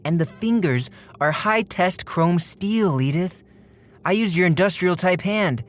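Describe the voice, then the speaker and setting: An actor playing a stubborn, proud, obsessed robot builder in an audio drama, terse, earnest and defensive. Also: male voice, high voice, unhurried, a little hiss or hum.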